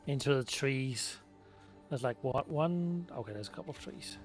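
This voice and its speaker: A man's voice: medium pitch, lively intonation, normal speed. A man giving casual, playful and wry commentary as he plays a video game. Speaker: let's play streamer. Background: faint game music.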